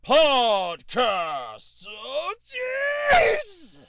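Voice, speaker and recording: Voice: high-pitched, wildly swooping pitch, drawn-out words, a bit bright, dry recording, raised voice, full character voice. A performer voicing a frantic little figure hurrying up endless stairs, whining breathlessly in a podcast intro skit. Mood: flustered. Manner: breathless, whiny complaining.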